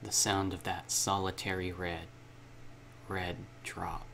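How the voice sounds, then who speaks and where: A man's voice: sits low, flat delivery, takes it slowly, gravelly, very noisy. A man hosting a gaming video, reading a visual novel aloud and breaking in with casual, self-interrupting asides.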